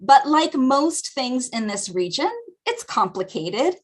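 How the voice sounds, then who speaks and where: A woman's voice: high voice, animated, slow pace. A woman with an American accent giving an academic lecture as a guest speaker at a community teach-in, explaining points thoughtfully with frequent ums, over a video call.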